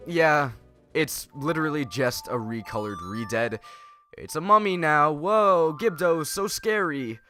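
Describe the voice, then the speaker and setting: A man's voice: fairly high pitch, a little slow, bright, forceful tone, faint music behind. A young man with a nasal voice from a starting cold, rambling through casual, sarcastic gameplay commentary as a let's play host. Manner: rambling and sarcastic, self-correcting.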